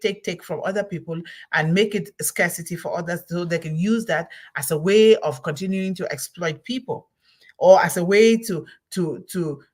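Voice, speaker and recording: A middle-aged woman from Cameroon, hosting a solo motivational talk, emphatic and repeating her key words. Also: fairly low pitch. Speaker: host of a motivational talk series.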